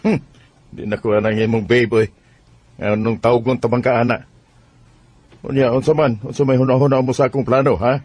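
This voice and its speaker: Man acting a husky-voiced Bisaya father. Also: medium pitch, level tone, fairly quick, pauses often, darkish tone, no echo, natural voice. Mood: cold, challenging. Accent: Bisaya Filipino. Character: fond, hurt father set on ending his marriage. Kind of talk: Bisaya radio drama acting.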